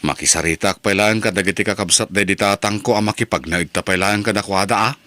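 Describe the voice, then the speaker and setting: A man's voice: on the low side, quick pace, sharp tone, dry recording. Dry-sounding, earnest working husband played by a man. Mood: earnest, worried. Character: struggling working husband resolved to move home. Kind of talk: Ilocano radio drama acting.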